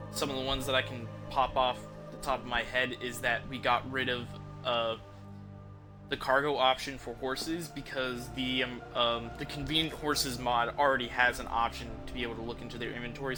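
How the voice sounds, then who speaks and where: A man hosting a game livestream, chatting to viewers in an informal, rambling, explanatory way without a script. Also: medium pitch. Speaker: livestream host, gamer. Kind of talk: casual unscripted chat to stream viewers. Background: music behind the voice.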